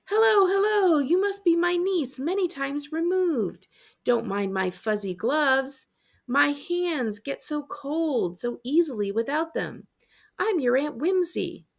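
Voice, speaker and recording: A woman acts out an eccentric storybook aunt, a retired world adventurer full of warmth. The voice is high with a creaky edge, and the delivery is effusive and grandly theatrical. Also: animated, slow pace, exaggerated voice. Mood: effusive welcome.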